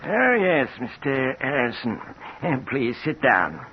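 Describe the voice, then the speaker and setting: Swooping, fussy loan officer. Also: male voice, medium pitch, very animated, slow. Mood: politely dismissive. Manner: hesitant, hemming and hawing. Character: fussy, evasive, coolly polite male loan officer. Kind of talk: 1950s radio drama acting. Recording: muffled, hissy vintage radio recording.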